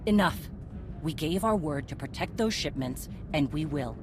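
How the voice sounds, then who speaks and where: An actress playing a stern, battle-hardened warrior leader in a video game, blunt and commanding. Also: female voice, sits low, animated, moderate pace, background noise. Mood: firm, commanding. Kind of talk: video game dramatic acting. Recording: music under the voice.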